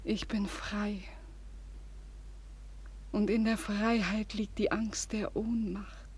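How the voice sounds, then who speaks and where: Desperate young lover for classical radio tragedy: slow, intense delivery, extremely breathy, often sinking toward a whisper. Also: female voice, mid-range voice, level tone, a little mellow. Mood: despairing, devoted. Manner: slow, halting, intense declamation. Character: desperate, devoted young woman, lover of a condemned hero. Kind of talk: classical German radio drama monologue.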